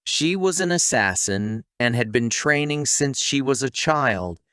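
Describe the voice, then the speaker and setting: Male narrator, scripted anime recap, animated swooping intonation, mid-range pitch, very dry recording. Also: unhurried, smooth, dry recording.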